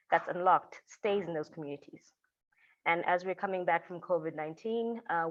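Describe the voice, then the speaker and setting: A woman giving halting opening remarks as host of a webinar, with fillers and restarts. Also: lowish voice. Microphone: video call. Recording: dull lo-fi video call audio.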